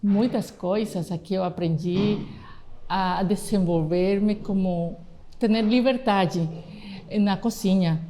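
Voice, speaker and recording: Mellow woman. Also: medium pitch, a little hiss or hum. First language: not Portuguese, apparently Spanish. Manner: halting, simple phrasing of a learner. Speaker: cooking student. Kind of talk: personal testimonial.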